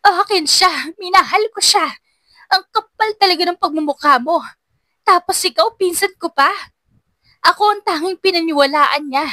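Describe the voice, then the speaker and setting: A betrayed, furious young woman played by a female narrator in an audio love drama. Her voice is high and bright, shouting accusations with raw anguish. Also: normal speed, no echo. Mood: furious, accusing.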